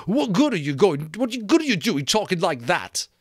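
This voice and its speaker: A man plays a frightened young boy from a storybook, pitched up high and shrill. He pleads and protests defensively, with excitable swings in a close, dry recording. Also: moderate pace, slightly rough, dry recording, full character voice. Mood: shrill panic.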